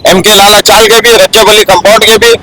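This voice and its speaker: A man's voice: high voice, level tone, fairly quick, rough recording. A man speaking as a local activist, firm as he states his group's demands. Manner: firm, listing supporters. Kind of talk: street interview answers. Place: street outdoors. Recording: loud crowd outdoors.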